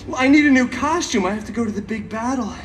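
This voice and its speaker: A man's voice: very high-pitched, expressive, fast. A man playing a chaotic, cheeky, vain masked antihero who tells a story theatrically to a live audience with sassy, mock-sweet asides. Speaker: theme park stage show performer. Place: theme park stage, recorded from the audience. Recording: amplified live show, distant, crowd noise.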